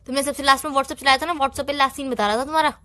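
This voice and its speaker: Casting: a woman to play a nagging young girlfriend, sharp high voice, dry close sound, relentless interrogator in a phone-call drama. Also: fairly even intonation, rapid-fire, no room sound. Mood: interrogating. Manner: insistent, interrogating, repeating questions and demands. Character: suspicious, nagging young girlfriend grilling her boyfriend. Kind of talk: staged phone-call couple argument.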